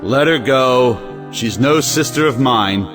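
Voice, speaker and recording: An actor playing a domineering, hot-tempered moralizing brother in an audio play, commanding and blustering. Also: male voice, medium pitch, slow, music in the background, lightly acted. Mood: angry. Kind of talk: audio play acting of an old stage drama.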